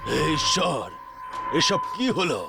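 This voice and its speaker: A man's voice: a little high, sing-song, slow pace, creaky, loud music behind the voice, exaggerated voice. A man playing a grave village exorcist priest in a horror story, solemn and explanatory. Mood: shocked. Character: grave village priest who battles ghosts. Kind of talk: dramatized horror story acting.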